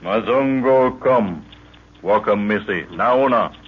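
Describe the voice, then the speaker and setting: An actor playing a huge, terse Maasai prince and tracker in an old radio adventure, speaking clipped broken English with Swahili phrases. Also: male voice, lowish voice, takes it slowly, a little hiss or hum. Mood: alert, terse. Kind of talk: 1940s radio adventure acting. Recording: muffled old radio recording.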